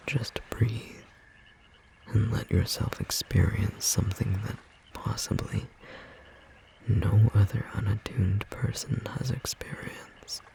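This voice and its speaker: A performer playing a tender, devoted magical bookstore clerk in an intimate ASMR romance roleplay, speaking in a gentle, slightly rough, breathy whisper with long pauses. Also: medium pitch, fairly even intonation, poor audio. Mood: tender, adoring.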